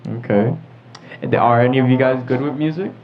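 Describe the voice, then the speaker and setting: A man's voice: mid-range voice, brisk. A teenage or young adult American man hosting a casual group chat show. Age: teens or young adult. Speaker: host of a teen talk show. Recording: dull lo-fi audio with clear background noise.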